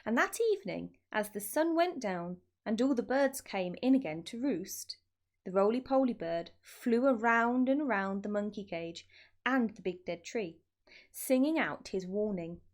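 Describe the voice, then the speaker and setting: A woman reading a children's story as narrator, in an expressive scripted style. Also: medium pitch, measured pace. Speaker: storybook reader.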